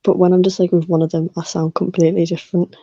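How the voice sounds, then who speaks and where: A man's voice: high voice, level tone, fast talker, warm tone, no room sound, lo-fi sound. A young man with a mixed Scottish and English accent, a podcast guest chatting casually and self-deprecatingly. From Scotland, then England. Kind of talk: casual chat.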